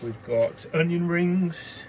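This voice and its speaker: A man's voice: a little high, slow pace, darkish tone. A man, chatting casually while cooking and sailing on a small boat. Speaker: cook and skipper. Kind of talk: casual vlog chat. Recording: noisy boat sound.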